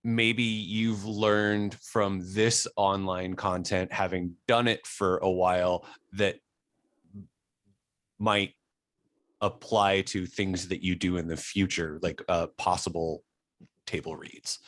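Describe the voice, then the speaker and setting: Deep-voiced man asking halting interview questions. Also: level tone, fairly bright tone, dry recording, studio quality.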